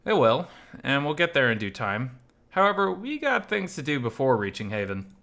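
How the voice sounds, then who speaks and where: A man's voice: mid-range voice, sing-song, moderate pace, creaky, a bit bright. A man playing a self-assured, teasing male wilderness guide in a visual novel, explaining things in a wry way. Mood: coy, casual.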